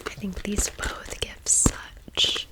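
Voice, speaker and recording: An American woman in her thirties whispering an ASMR storytime in a breathy, casual, confiding, rambling way. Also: slow. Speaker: ASMR video host.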